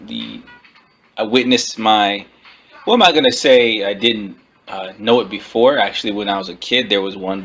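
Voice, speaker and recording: A man giving a casual, slangy book review as an online video host, often halting as he searches for words. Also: lowish voice, a bit bright.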